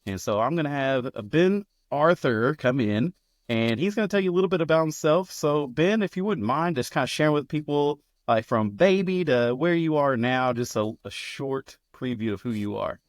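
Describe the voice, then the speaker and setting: An American man hosting a podcast, interviewing in an informal, halting, conversational way. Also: medium pitch, expressive, no room sound, studio quality. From United States.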